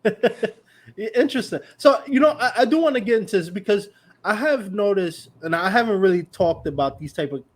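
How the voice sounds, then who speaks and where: A man's voice: high-pitched, lively intonation, normal speed. A man from New York hosting an interview, asking curious, rambling questions full of restarts and fillers. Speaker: podcast host. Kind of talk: casual interview conversation.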